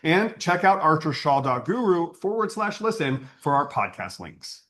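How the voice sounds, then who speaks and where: A man with a fairly high voice and very animated intonation leads a spiritual service. His delivery is earnest and solemn, with measured, carefully phrased sentences. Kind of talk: scripted online spiritual service address.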